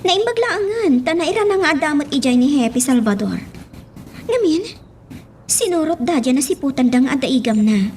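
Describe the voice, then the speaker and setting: A young daughter in an Ilocano radio drama. Her voice is high and very animated, with an Ilocano accent and noticeable ambient noise behind it. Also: normal speed. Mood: pleading. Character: young woman Monica, cherished daughter of a crime boss.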